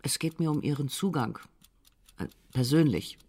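A woman's voice: sits low, animated, a little mellow, breathy edge. Composed, probing female psychotherapist. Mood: calm, inquiring. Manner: careful, measured questioning with small hesitations. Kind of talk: naturalistic German radio drama acting.

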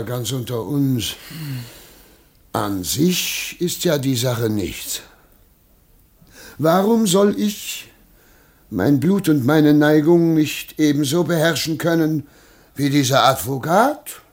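An actor playing a greedy, jealous husband in a theatrical radio comedy, blustering and wheedling by turns. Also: male voice, mid-range voice, expressive, takes it slowly, pauses often. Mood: calculating. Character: greedy, jealous, scheming husband, volatile and self-deceiving. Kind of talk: theatrical German classic radio comedy acting.

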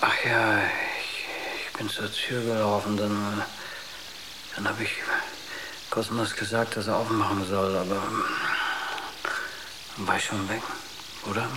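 Male actor as dazed crewman, lowish voice, halting, urgent, sci-fi radio play, noisy background. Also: level tone, close to an everyday voice. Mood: groggy, confused. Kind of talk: German radio drama acting.

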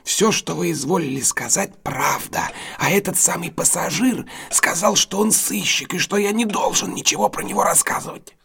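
An actor in an audio drama playing a deferential, wary but honest London cab driver, husky and halting, speaking respectfully to his betters. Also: sits high, fairly bright tone, exaggerated voice. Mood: wary, aggrieved.

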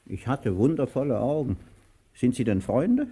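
An actor playing a pompous, helpless blind master with an imperious manner in a theatrical radio drama. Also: male voice, medium pitch, big pitch swings, normal speed, dark tone, a little hiss or hum. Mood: weary, bewildered. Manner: imperious, given to barked commands and outbursts.

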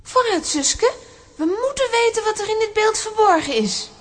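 A super high bouncy little girl hero, bossy n eager, flemish accent, kids audio drama. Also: moderate pace. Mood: determined. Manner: eager, assertive, animated. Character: spirited, bold young girl adventurer. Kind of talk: children's audio drama acting.

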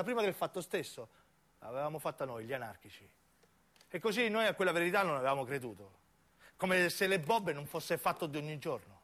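Casting: male narrating actor, high voice, southern Italian accent, emphatic stage monologue with insistent repetition. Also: a bit bright. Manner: emphatic, incantatory repetition, acting out the characters as he tells. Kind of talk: theatrical dramatic monologue storytelling for radio.

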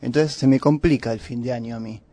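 An Argentine man co-hosting a radio show in a studio, chatting casually with frequent hesitant pauses. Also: mid-range voice, fairly even intonation, mellow, no echo. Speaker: radio co-host.

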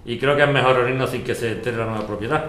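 A man's voice: medium pitch, fast, a bit bright, close to an everyday voice. A man acting a businesslike chair of a neighbours' meeting in a podcast drama, addressing the group. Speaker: actor playing a meeting leader. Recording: echoey room with faint noise.

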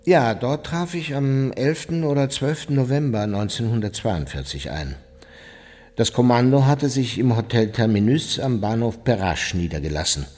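An actor playing a callous old former SS officer in a radio docudrama, giving blunt, offhand testimony in clipped fragments. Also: male voice, mid-range voice, mellow, lightly acted. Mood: matter-of-fact.

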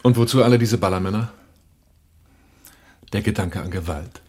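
A man's voice: fairly low pitch, moderate pace, a little mellow, husky. An actor playing a shrewd, sardonic man who questions a client in a dry, probing, mocking manner in a German radio drama.

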